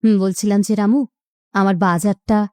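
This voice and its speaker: A woman's voice: mid-range voice, fairly even intonation, moderate pace, a little mellow, no room sound, studio quality, close to an everyday voice. A woman playing a weary, plaintive widowed village mother in a cartoon. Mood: meek, hesitant. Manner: plaintive, careworn. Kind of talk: cartoon voice acting.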